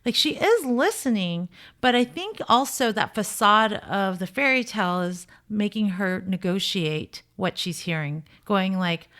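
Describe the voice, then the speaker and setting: A woman's voice: mid-range voice, lively intonation, unhurried, hardly any pauses, a bit bright. Emphatic, blunt woman giving dating advice. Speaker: dating coach and show host. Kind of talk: conversational relationship advice commentary.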